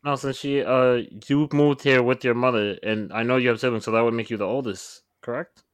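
A man co-hosting a casual podcast, teasing the others with quick wisecracks and asking the guest questions. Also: medium pitch, fairly even intonation, clear, resonant tone, no echo. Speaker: podcast co-host.

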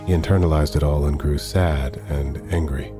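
An actor playing a humanlike AI android in a sci-fi audio drama, speaking in formal, deliberate, measured phrases with a proud, wistful air. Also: male voice, a bass voice, fairly even intonation, over music. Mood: reflective.

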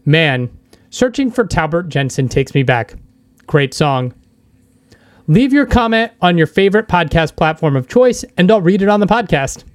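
A man's voice: on the high side, animated, moderate pace, no echo. A man with an American accent, speaking as a podcast host and interviewer. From United States. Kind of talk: podcast narration and casual interview.

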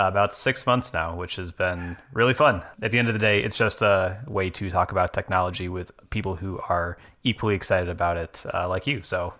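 A man appearing as a guest on a tech podcast, relaxed and friendly as he answers questions. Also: deep voice. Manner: relaxed and friendly, light laughs. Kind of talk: casual interview chat.

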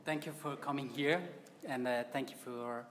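A middle-aged man with an Italian accent, a publisher giving formal remarks at an event in a halting, measured way with broken grammar. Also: medium pitch, steady tone, a little hiss or hum. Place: event room. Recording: long reverb, faint room ambience.

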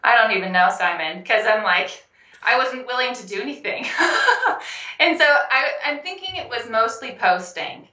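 A young adult woman, a health coach and guest, telling her story in a casual interview, animated and laughing often. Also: on the high side, normal speed, echoey room, dull audio. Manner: animated, laughs often, acts out quoted phone calls.

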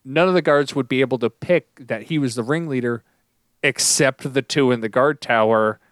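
A man co-hosting a casual podcast chat, speaking in a measured, thoughtful way with some hesitation. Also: medium pitch, no room sound, clean studio sound. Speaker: podcast co-host.

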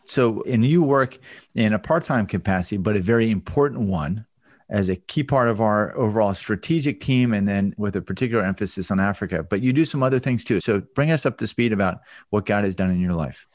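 A man hosting an interview podcast, introducing guests and asking brisk, friendly questions. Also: lowish voice, a little mellow, no echo. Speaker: podcast host.

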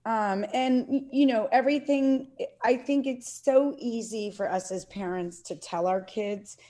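Earnest woman with hesitant, halting speech. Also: fairly high pitch, warm tone. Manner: earnest, hesitant with many fillers and restarts. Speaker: podcast guest, CrossFit athlete and parent. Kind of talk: casual interview conversation.

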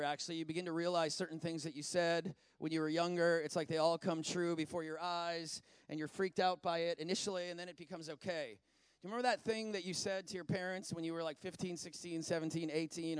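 High-voiced guy in his 40s preaching, chatty and animated, smooth clear tone, dry sound. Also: no echo. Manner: animated, conversational preaching with asides. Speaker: pastor. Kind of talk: sermon.

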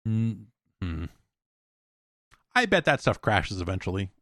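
A man's voice: lowish voice, sing-song, measured pace, a bit bright, dry recording, studio quality. A man hosting a podcast solo, chatting casually in a rambling, opinionated, profane way. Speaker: podcast host answering listener mail.

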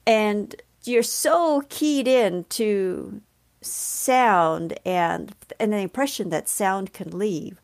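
A woman conducts an interview in a mid-pitched voice with plenty of vocal fry. She speaks in a considered way, often pausing partway through a sentence. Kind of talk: interview, prepared questions with reflective remarks.

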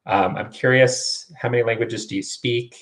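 A man's voice: medium pitch, fairly even intonation, normal speed. A man hosting a conversational online interview, chatty and encouraging as he puts questions to his guest. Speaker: interviewer and host of a test-prep show.

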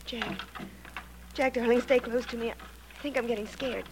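A woman's voice: fairly high pitch, fairly even intonation, normal speed, close to an everyday voice. A woman playing a spirited young actress in an old radio mystery. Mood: frightened. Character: spirited young actress, engaged, wisecracking but uneasy. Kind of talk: 1940s radio acting. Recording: faintly noisy old radio recording.

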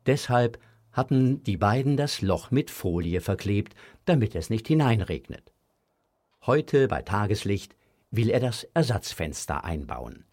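A man's voice: fairly low pitch, sing-song, unhurried, a little mellow. A man narrating a scripted children's audio story as the storyteller.

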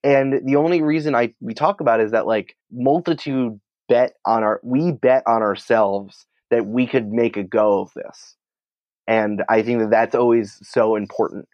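A man's voice: mid-range voice, fairly even intonation, moderate pace, no room sound, highs cut off. An American man answering interview questions in an informal, emphatic, conversational way, peppering his speech with "like". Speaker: podcast producer and writer, interview guest.

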